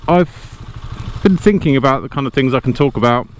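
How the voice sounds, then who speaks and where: A man's voice: medium pitch, fairly quick. A man chatting casually to a vlog as he rides a motorbike, rambling, self-deprecating and easily sidetracked. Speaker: motorcycle vlogger. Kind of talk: casual vlog chat while riding. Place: outdoors, riding a motorbike inside a helmet. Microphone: lavalier inside helmet. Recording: loud wind, engine and road noise. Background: engine, wind and passing traffic.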